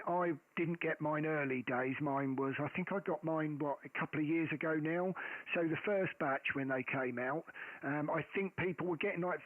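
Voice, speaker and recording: A man with a loud, carrying voice rambles happily about his radio settings, full of ums and restarts. His voice sits a little high and very dry, pressed close to the mic. It all comes through a narrow, phone-like radio band. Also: dry recording. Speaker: amateur radio operator. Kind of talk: casual radio chat. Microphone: boom mic close to the face.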